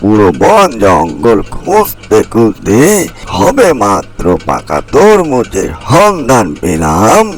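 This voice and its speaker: Cartoon crow, played by a man: eager and restless, low-pitched but leaping up dramatically, music faintly behind. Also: wildly swooping pitch, slow pace, heavily acted. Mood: excited, curious. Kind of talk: animated fairy-tale cartoon dialogue.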